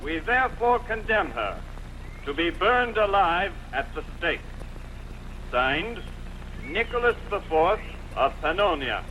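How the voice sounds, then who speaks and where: A man's voice: high-pitched, very animated, takes it slowly, heavily acted. A man acting a solemn royal official who reads out a sentence in a stately, declamatory way for a dubbed costume film. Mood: solemn, condemning. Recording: dull lo-fi fan dub, faint noise.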